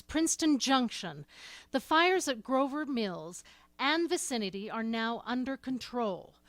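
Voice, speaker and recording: A woman playing a brisk bulletin announcer. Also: on the high side, dry recording, lightly acted. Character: news announcer reading bulletins. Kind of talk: 1930s radio drama acting.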